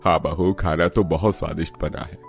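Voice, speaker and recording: A man's voice: low voice, fairly even intonation, fairly quick, vocal fry. An actor playing a genial older father-in-law, the household elder, in a cartoon family story.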